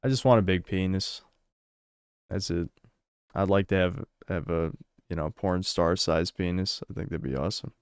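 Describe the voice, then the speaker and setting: Blunt, crude man with a smooth voice. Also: low-pitched, normal speed, dry recording, studio quality. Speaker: livestream host reading donations. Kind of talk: casual livestream chat.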